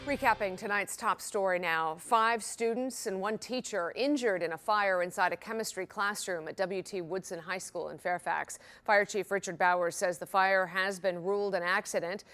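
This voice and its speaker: A woman's voice: mid-range voice, normal speed, hardly any pauses, fairly bright tone, soft background music. A woman with an American accent reads scripted television news as an anchor.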